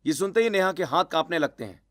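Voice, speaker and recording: A man's voice: fairly high pitch, lively intonation, fast talker, fairly bright tone, no room sound, studio quality. A man reading scripted, dramatic story narration.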